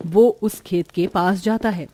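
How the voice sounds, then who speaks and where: A woman's voice: lowish voice, measured pace, dark tone. A woman reading scripted story narration as the narrator of a village tale.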